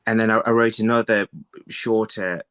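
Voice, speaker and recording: Low-voiced man, halting interview talk. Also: level tone, a bit bright, smooth. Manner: halting, thinking aloud, repeats words. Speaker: writer being interviewed. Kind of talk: conversational interview answers. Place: indoor room, video call. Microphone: phone on a video call.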